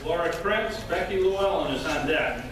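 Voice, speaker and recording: A man's voice: on the high side, animated, normal speed. A man calling out the speakers' names as convention moderator. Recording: echoey hall from far off, noisy.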